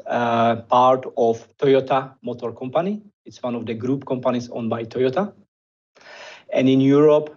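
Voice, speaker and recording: A man from the Czech Republic with a Czech accent, giving a formal conference presentation over a video call in a measured way, with frequent hesitations. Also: mid-range voice, darkish tone. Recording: dull muffled video-call audio.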